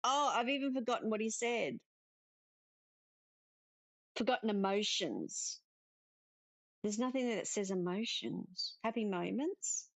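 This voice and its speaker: Slow-paced woman playing a game. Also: mid-range voice, animated, no echo, rough recording. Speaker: game player reading dialogue aloud. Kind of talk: casual gaming first-look commentary. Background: game music.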